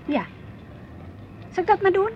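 A woman's voice: very high voice, lively intonation, unhurried, highs cut off, a little hiss or hum. An actress playing a fussy, indecisive, easily embarrassed woman in a naturalistic radio play. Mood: chatty, fussy. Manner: hesitant, mildly complaining, chiding.

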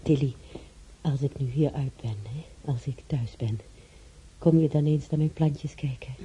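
A woman's voice: rumbling low, unhurried, highs cut off, background noise. A woman playing a wistful, chatty elderly bedridden patient in a radio play, musing and correcting herself kindly. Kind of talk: Dutch radio play acting.

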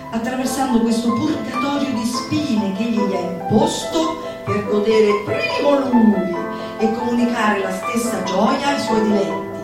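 A woman performing a theatrical, comic declamation with an emphatic, drawn-out delivery. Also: fairly high pitch. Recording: echoey live recording from far off. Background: music.

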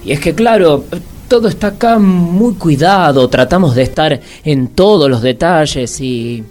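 A man's voice: fairly high pitch, lively intonation, a little slow, a little mellow. A man playing an odd, courteous and distracted waiter in a comic radio drama, with a hesitant manner. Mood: polite, distracted. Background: music behind the voice.

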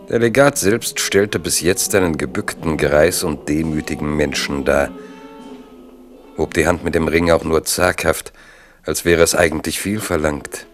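A man narrating a radio play in a calm, even way. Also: extremely low pitch. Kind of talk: scripted narration.

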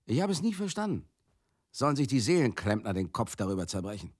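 A baffled, outspoken man muses aloud in a radio drama. His husky voice leaps high and drops low, emphatic one moment and confiding the next. The sound is close and dry. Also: very animated, fast talker, a little mellow, no room sound. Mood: exasperated. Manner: emphatic, exclamatory, dropping to confiding tones. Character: baffled, outspoken man musing on a warring couple. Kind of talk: conversational radio drama monologue.

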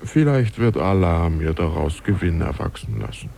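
A man's voice: low-pitched, lively intonation, normal speed, vocal fry, mellow, slight background noise. An actor in a 1950s radio play as a lazy, listless young man, speaking formally and languidly. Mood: languid pleading.

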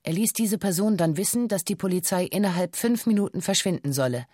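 An actress as a female bank hostage with a lowish voice, recounting events in a radio play. She is formal and sober, measured like an official statement, and recorded close and dry. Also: mellow, no echo, natural voice. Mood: sober, factual. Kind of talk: scripted documentary radio play monologue.

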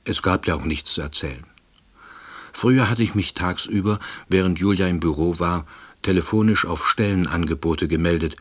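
An actor playing a withdrawn, middle-aged unemployed househusband who narrates a radio play in a dry, ruminative manner. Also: male voice, low voice, moderate pace, slightly rough. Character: withdrawn, long-unemployed East Berlin househusband, quiet ruminator. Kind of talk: radio drama first-person narration.

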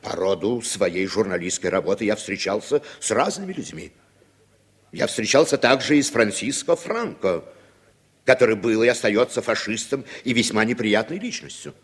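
An actor playing a wry, educated middle-aged playwright, measured and courteous, in 1960s Soviet radio drama style. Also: male voice, lowish voice, expressive, slightly rough. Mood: defiant.

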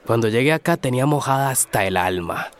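A man's voice: medium pitch, lively intonation, brisk, natural voice. An actor plays a world-worn male drifter in a radio drama. He rambles in a wry, resigned way, sighing between phrases.

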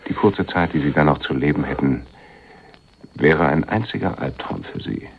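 An actor playing a menacing, coolly businesslike man in a German radio crime drama, formal, deliberate and drily sardonic. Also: very deep voice, lively intonation, gravelly, full character voice. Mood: coolly threatening. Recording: dull, muffled radio recording.

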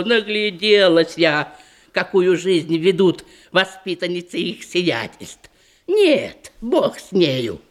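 An older actress playing a grumbling, thrifty old widowed aunt in a radio verse comedy, querulous, emphatic and theatrical. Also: female voice, on the low side. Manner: querulous, drawn-out, emphatic delivery.

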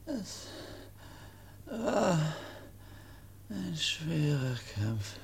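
An actor playing a gentle dying young man in a radio play, exhausted and feverish, speaking in halting, broken phrases. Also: fairly high pitch, big pitch swings, vocal fry, exaggerated voice. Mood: exhausted, fading. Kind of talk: German radio play acting. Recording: noisy old radio drama recording.

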